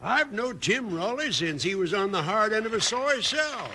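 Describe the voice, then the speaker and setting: A stubborn old frontier landowner in a radio western. He speaks high, with a rustic drawl. Heavy noise covers the vintage recording. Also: sing-song, moderate pace. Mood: hearty. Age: older. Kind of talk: 1950s radio western acting.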